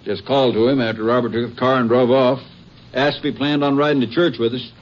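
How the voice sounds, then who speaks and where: Actor as folksy grocer on muffled old radio. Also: male voice, mid-range voice, fairly even intonation, fast, lightly acted. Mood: plain, guarded. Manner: folksy, laconic. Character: plain, neighborly small-town Texas grocer, father. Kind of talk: 1950s radio drama acting. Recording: dull old radio recording with noise.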